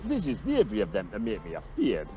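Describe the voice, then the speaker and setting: Actor doing a cocky donkey-headed village fool, mellow, swoops all over, radio play comedy. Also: medium pitch, wildly swooping pitch, fast talker, soft background music. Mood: blustering bravado. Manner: blustering, chuckling, wildly swooping delivery. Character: cocky, foolish rustic man with a donkey's head. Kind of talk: comic Shakespearean radio play acting.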